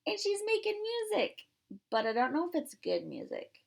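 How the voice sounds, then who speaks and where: A woman reading a picture book aloud to young children, expressively emphasizing words and pausing to explain and ask questions. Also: extremely high pitch, very animated, gravelly. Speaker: pre-K teacher.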